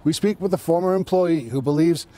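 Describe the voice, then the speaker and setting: A man hosting a news podcast, reading a scripted report in a measured, explanatory way. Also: fairly high pitch, mellow.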